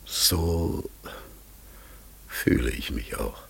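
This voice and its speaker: A mysterious talking raven in a classic radio drama, very low and croakily gravelly. The creature speaks slowly and courteously, feeling for each word with a melancholy, hesitant earnestness, and often sinks into a hoarse whisper. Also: male voice, a bit bright, heavily acted. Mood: guarded, courteous. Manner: slow, halting, earnest, searching for words. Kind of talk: classic German radio play acting.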